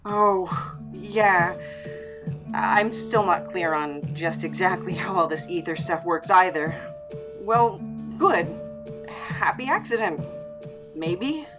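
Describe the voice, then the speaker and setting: A woman acts out an awkward, evasive drifter in an audio drama. The delivery is unhurried, hesitant and deadpan, often sheepish. Music sits clearly behind the voice. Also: on the low side. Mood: baffled, hesitant. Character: awkward, forgetful goblin wanderer named Knapp, evasive and sheepish.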